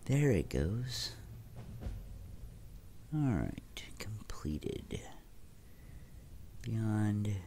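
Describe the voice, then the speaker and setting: Very deep, gravelly-voiced gaming host. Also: male voice, big pitch swings, drawn-out words, pauses often, warm tone, slight background noise. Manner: chatty, addresses viewers directly. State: stuffy, congested. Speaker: let's play host. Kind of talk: casual gameplay commentary.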